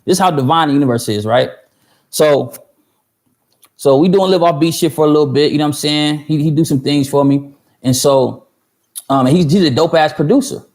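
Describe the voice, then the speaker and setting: A man's voice: a little high, brisk, darkish tone. Rambling, profane man in his thirties. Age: 30s. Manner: rambling, profane, full of fillers and restarts; answers viewer chat mid-story. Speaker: host of a livestream for music producers. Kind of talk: casual livestream storytelling.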